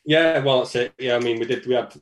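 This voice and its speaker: A man's voice: mid-range voice, quick pace. Easygoing Manchester man on a video call. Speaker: band singer, guest. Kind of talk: casual interview chat.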